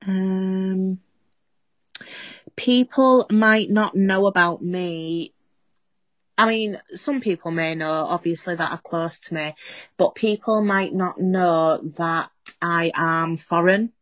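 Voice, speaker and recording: A woman's voice: fairly low pitch, takes it slowly, a bit bright, no room sound. A young woman co-hosting a podcast, born in Serbia, speaking with a Yorkshire accent that has picked up some Scouse, chatting casually and joking at her own expense. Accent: Yorkshire with a Scouse tinge. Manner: chatty, jokey, self-mocking. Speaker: podcast co-host. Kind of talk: casual chat.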